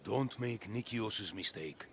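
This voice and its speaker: An actor playing a gruff, guilt-haunted middle-aged collector who speaks in terse, bitter phrases. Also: male voice, fairly low pitch, slow, a bit bright, slight background noise. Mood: brooding. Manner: terse, bitter, clipped sentences. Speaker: game character. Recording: orchestral game score underneath.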